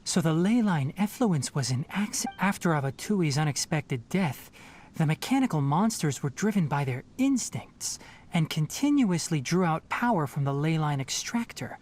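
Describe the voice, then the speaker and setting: An actress playing a calm, analytical scholar in a video game, measured and thoughtful as she explains things. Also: sits low. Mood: grave, thoughtful. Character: calm, analytical woman scholar investigating a mystery.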